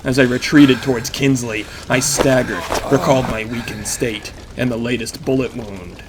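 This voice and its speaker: A man's voice: medium pitch, fairly even intonation, moderate pace, background noise, lightly acted. An actor playing a battered vigilante who narrates his own story in intense, clipped phrases, in the style of an audio drama. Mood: grim, tense. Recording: music behind the voice. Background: dramatic music.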